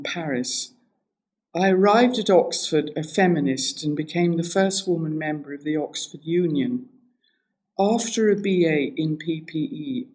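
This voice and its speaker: A woman's voice: low-pitched, darkish tone. An older woman academic reading a scripted autobiographical narration. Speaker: academic anthropologist.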